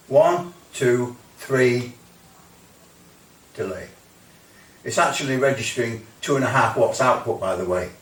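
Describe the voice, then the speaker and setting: A man giving a casual hands-on demonstration of radio equipment, rambling and hesitant with grumbling asides. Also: mid-range voice. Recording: roomy, distant mic, faint noise.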